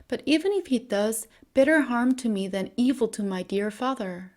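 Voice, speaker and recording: A woman voicing a gentle, devoted young daughter in a fairy tale, earnest and polite. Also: fairly high pitch, expressive, normal speed, close to an everyday voice.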